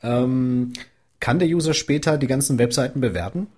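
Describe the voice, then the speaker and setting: A man hosting a casual tech podcast, talking in a relaxed, chatty way with frequent filler sounds. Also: mid-range voice, normal speed, breathy edge. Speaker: podcast host.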